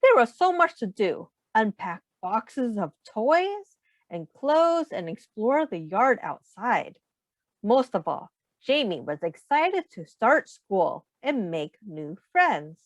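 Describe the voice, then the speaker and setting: A woman reading a children's storybook aloud in an expressive, simple teacher's manner, pausing to put questions to young listeners. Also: fairly high pitch, dry recording.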